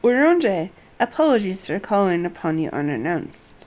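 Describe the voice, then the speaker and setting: A woman's voice: medium pitch, sing-song, normal speed, darkish tone, natural voice. A woman voicing a serious, businesslike strategist from a fantasy game, formal and explanatory. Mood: urgent, polite.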